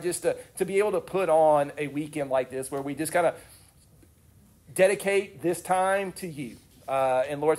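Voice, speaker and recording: Man, young adult, congested from a cold, slightly high pitch, earnest conversational preaching, frequent restarts. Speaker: youth speaker. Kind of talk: sermon with prayer.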